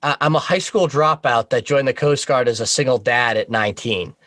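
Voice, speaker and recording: A man speaking as an interview guest, informal and halting as he thinks aloud about his creative work. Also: mid-range voice, fairly bright tone, dry recording, clean studio sound. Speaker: guest, audio horror series creator. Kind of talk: casual interview talk.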